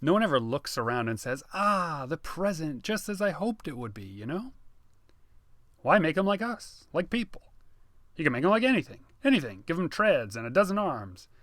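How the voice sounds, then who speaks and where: Man acting an agitated, higher-voiced man, emphatic. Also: very animated, normal speed, fairly bright tone, no echo. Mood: musing, animated. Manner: insistent, emphatic, exasperated. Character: man obsessed with future, agitated, animated talker.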